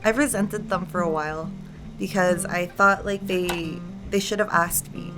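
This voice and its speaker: A woman's voice: lowish voice, steady tone, unhurried. A young woman raised in Ecuador and Canada, talking casually in an interview with lots of "like" and "uh". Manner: casual, full of "like" and "uh". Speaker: documentary interviewee. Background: guitar music.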